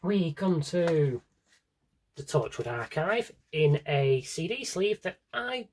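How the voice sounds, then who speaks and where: A man's voice: on the high side, expressive, slow pace, a little mellow. A man giving a casual, rambling show-and-tell to viewers, chatty and enthusiastic, drifting into asides to himself. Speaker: video host showing a personal CD collection.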